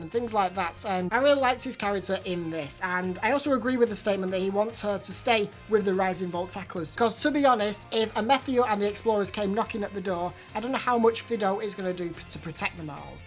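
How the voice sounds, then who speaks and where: A woman's voice: mid-range voice, fast talker, hardly any pauses, faint music behind. A woman hosting an anime review channel, chatting casually through an episode recap while her voice is slightly off from hay fever. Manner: chatty recap with repeated words.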